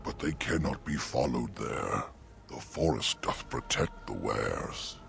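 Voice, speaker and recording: An actor playing an ancient talking oak tree in a fantasy game, solemn and mournful, speaking in archaic rhyming verse with a gravelly voice. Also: male voice, lively intonation, slow, a bit bright, full character voice. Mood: measured, cryptic. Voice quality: deep, gravelly. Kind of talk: fantasy video game character acting.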